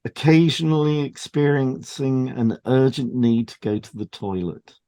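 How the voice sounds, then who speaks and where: A man with a mellow, relaxed voice and mid-range pitch reads scripted material as a podcast co-host. His delivery is measured and explanatory, suited to an educational programme.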